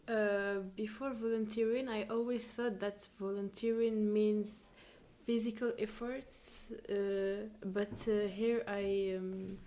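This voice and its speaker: A woman's voice: mid-range voice, little pitch movement, slow pace, dark tone. A young woman volunteer from France giving reflective interview answers, hesitant and searching for words. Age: young adult.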